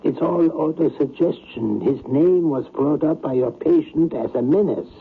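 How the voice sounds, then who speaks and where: An actor playing a skeptical, patronizing psychiatrist in an old radio drama, with a deliberate, lecturing manner. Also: male voice, a little high, creaky. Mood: assured, lecturing. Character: probing, skeptical male psychiatrist, confident and patronizing. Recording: narrow, noisy vintage radio broadcast.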